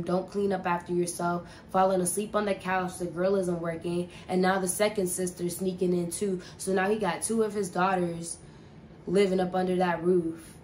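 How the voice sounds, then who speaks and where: A woman's voice: fairly low pitch, a little hiss or hum. A young woman telling a casual storytime, rambling and profane with lots of filler words. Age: young adult. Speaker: vlogger.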